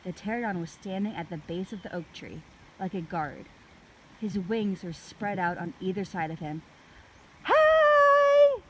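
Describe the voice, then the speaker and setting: A woman reading a children's book aloud in an expressive, deliberate storytelling manner. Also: fairly low pitch, slight background noise, low-quality recording.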